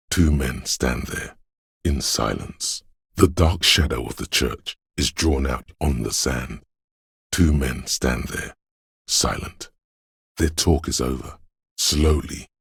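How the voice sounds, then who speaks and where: An actor playing the brooding spirit of a windswept city in a video game, narrating poetically in a gravelly, husky voice with a solemn, ominous, hushed manner. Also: male voice, a bass voice, no echo, clean studio sound, exaggerated voice.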